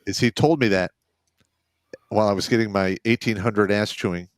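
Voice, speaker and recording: Man, army veteran, low voice, dry close sound, blunt and profane. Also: moderate pace, smooth, dry recording. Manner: blunt, swearing storyteller. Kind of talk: casual chat.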